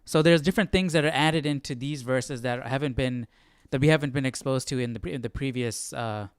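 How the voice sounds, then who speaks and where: Clear-voiced man chatting casually on a livestream. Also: mid-range voice, brisk, no echo, clean studio sound. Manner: informal, thinking aloud, often tagging sentences with "right?". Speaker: host of a live Bible study. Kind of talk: casual, conversational livestream commentary.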